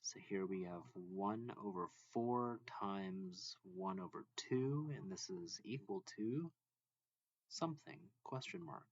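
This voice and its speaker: A man with a low voice reads a textbook lesson aloud. He goes very slowly and deliberately, setting out each short phrase on its own. The recording is a little dull. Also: no room sound. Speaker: math reading tutor.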